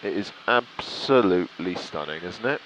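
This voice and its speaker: A man's voice: deep voice, animated, moderate pace, fairly bright tone, muffled recording. A British man chatting casually to viewers while flying, with an enthusiastic, reflective way of talking. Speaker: pilot vlogger. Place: cockpit of a small light aircraft in flight. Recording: clear engine noise in a cockpit.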